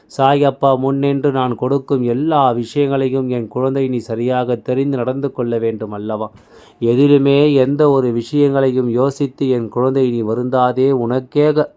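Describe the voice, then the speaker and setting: Smooth-voiced man giving devotional exhortation. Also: mid-range voice, mellow, highs cut off. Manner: exhorting, repetitive, addressing listener as a child. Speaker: devotional channel speaker delivering messages in a deity's voice. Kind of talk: spiritual motivational monologue.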